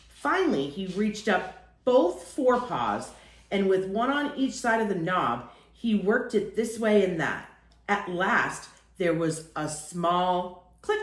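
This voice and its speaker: A woman reading a children's picture book aloud in an expressive storytelling style. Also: mid-range voice, reverberant.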